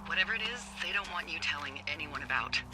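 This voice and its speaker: An actress playing a wry, worried fire lookout in a naturalistic game style, sardonic, speaking over a two-way radio. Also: female voice, low voice, animated, fast talker. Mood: wry alarm. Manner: sardonic, morbidly joking. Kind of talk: naturalistic video game acting. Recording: noisy walkie-talkie radio transmission.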